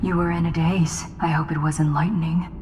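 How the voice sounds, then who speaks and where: A woman's voice: low voice, little pitch movement, brisk, close to an everyday voice. An actress playing a blunt, wry female assassin with a dry, sardonic manner, in a game. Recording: echoey with long reverb, music behind.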